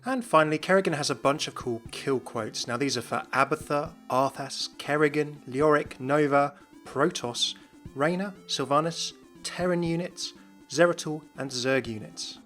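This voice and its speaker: A man narrates as the host of an explainer video. His voice is pitched a little high and follows a prepared script. Soft music plays underneath him. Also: moderate pace.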